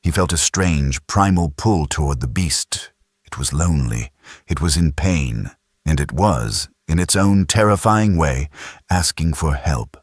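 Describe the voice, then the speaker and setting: Very deep, husky male story narrator. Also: expressive, unhurried, no echo, clean studio sound. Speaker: audiobook narrator. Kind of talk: dramatic scripted sci-fi narration.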